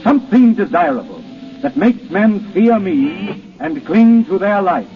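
An actor playing Death as a weary, lonely, courtly visitor, speaking grandly and formally in 1930s radio drama style. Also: male voice, high-pitched, big pitch swings, a little slow, soft background music. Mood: weary, yearning. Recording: muffled 1930s radio broadcast recording.

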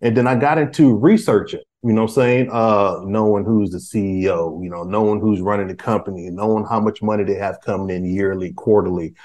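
A man's voice: on the low side, fairly even intonation, fairly quick, few pauses, darkish tone. A man in his forties, a podcast guest, chatting casually with lots of restarts, fillers and slang. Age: 40s. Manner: rambling, many restarts and fillers; uses street slang. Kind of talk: casual chat.

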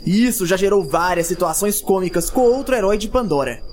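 Genial fantasy narrator, high voice, storybook style, crickets and night noise behind. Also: male voice, expressive, brisk, a little mellow. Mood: genial, storytelling. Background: crickets, night ambience.

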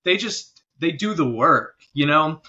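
A man's voice: fairly high pitch, expressive, a little slow, bright, forceful tone, muffled recording. A man speaking casually and informally as an interview guest, a working musician talking about his job. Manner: informal, often checking in with "you know". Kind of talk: casual chat.

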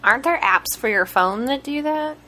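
A woman's voice: a little high, animated, normal speed, sharp tone. A woman co-hosting a casual podcast, teasing her partner with sarcastic quips and frequent laughter. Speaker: podcast co-host.

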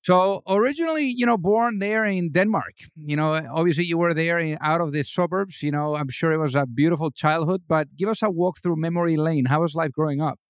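A man's voice: fairly high pitch, a little fast, few pauses, smooth, no echo, studio quality. A man hosting a business podcast, enthusiastic and leaning on lots of "you know" fillers as he introduces and questions his guest. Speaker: podcast host. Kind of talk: casual interview hosting.

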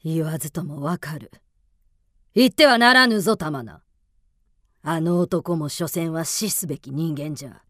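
Stern old crone, radio drama, low-pitched, slow, archaic diction, mysterious. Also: female voice, fairly bright tone, dry recording, studio quality. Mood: stern warning. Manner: archaic old-woman speech, slow and weighty. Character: centuries-old mysterious old mountain woman, stern, prophetic.

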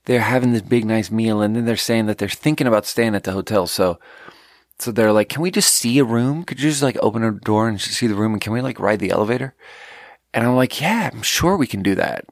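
A man's voice: lowish voice, fairly quick, dry recording, clean studio sound. A man from Sweden, hosting a podcast, telling a rambling, profane story in casual chat and laughing at his own jokes. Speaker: podcast host.

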